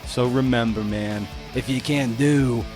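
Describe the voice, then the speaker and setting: A man's voice: mid-range voice, steady tone, unhurried, over music. A man hosting a podcast in a casual, chatty way, with informal, slangy wording. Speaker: podcast host.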